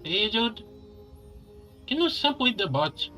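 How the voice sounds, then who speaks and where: A man's voice: extremely high pitch, big pitch swings, slow, bright, forceful tone, faint music behind. A man who is a game streamer, reacting live over gameplay.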